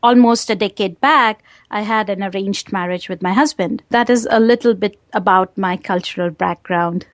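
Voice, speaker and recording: A woman from India with an Indian accent, answering interview questions earnestly and in a measured way, with hesitant fillers. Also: medium pitch, dry recording, clean studio sound. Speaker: guest, wellness coach.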